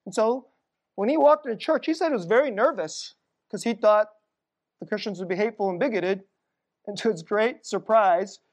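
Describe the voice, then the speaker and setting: A middle-aged Korean American woman telling stories in a sermon, casual and conversational, dropping to a hushed low voice at tense moments. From United States.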